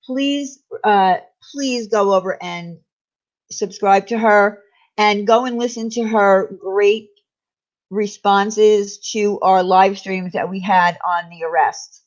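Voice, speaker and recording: Slow, chatty New woman. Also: mid-range voice. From New York. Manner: chatty, rambling, laughs easily. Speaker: livestream host. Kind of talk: casual live chat reading viewer comments. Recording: dull lo-fi livestream audio.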